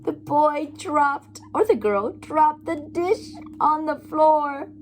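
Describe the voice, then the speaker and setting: A woman reading children's poetry aloud with theatrical, deliberate phrasing and playful emphasis, adding asides as the reader. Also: high voice, slight background noise. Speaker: read-aloud host, teacher-like reader.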